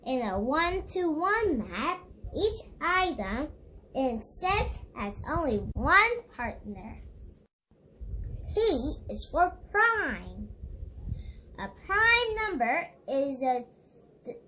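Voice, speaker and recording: A woman's voice: sits high, sing-song, extremely slow, pauses often, pushed and bright, slight background noise. A female reader reading an educational children's book aloud, stumbling over words now and then. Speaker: read-along book reader.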